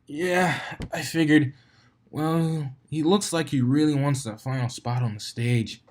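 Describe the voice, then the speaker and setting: A man's voice: on the high side, measured pace, voice pushed up. A man voicing a brash, competitive young female pegasus from a cartoon fan story, casual and cheeky.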